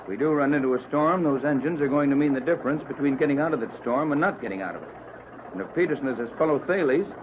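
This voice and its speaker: An American man playing a calm, wry, fatherly boat captain in an old-time radio adventure, with an easygoing, reassuring manner. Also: medium pitch, fast talker, lightly acted. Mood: wry, thoughtful. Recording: tinny, noisy old radio recording.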